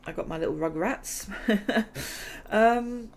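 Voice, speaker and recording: Slow, gravelly-voiced woman gamer chatting. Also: medium pitch. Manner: rambling, chatty, laughs often. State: losing her voice. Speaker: gaming channel host. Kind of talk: casual chat over gameplay.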